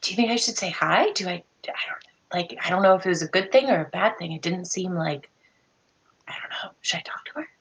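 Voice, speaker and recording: A woman playing an anxious, indecisive adventurer in a tabletop game, rambling in a hushed, hesitant voice. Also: on the low side, a little fast, close to an everyday voice, rough recording. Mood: nervous, hushed.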